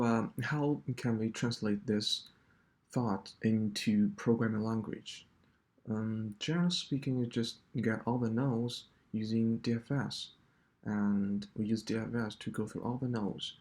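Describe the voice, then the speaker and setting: A man walking through a coding solution step by step, in a halting explanatory way. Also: fairly low pitch, a little mellow. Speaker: programming tutor.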